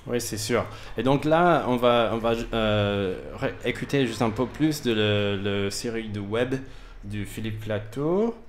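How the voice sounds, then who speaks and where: A man, a native English speaker with a foreign accent, hosting a casual radio talk show in halting, loosely worded language. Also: mid-range voice. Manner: halting, loosely worded French with grammar slips. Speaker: radio host. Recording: faint background noise.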